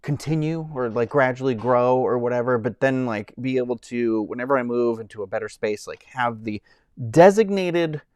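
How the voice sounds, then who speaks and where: A man in his thirties hosting a casual live stream from home, rambling with lots of fillers and restarts. He describes his own voice as high-pitched. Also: normal speed, a little mellow, clear, resonant tone, no room sound. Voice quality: says he has a high-pitched voice.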